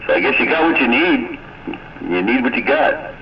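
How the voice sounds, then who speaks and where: A man's voice: mid-range voice, lively intonation, normal speed. An American man in casual CB radio chat, folksy and wry, with colloquial turns of phrase. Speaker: CB radio operator. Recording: muffled, noisy CB radio transmission.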